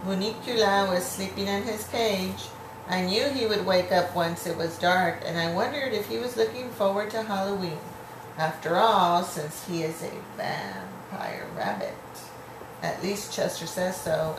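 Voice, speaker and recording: A woman reads a children's storybook aloud in an encouraging way, as if to a young listener. Her voice sits at a middle pitch. The home recording is roomy and echoey, with noticeable noise behind her. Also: lively intonation. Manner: encouraging, pauses to prompt and explain words. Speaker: adult reading aloud to a child. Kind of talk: read-aloud storybook with coaching chat.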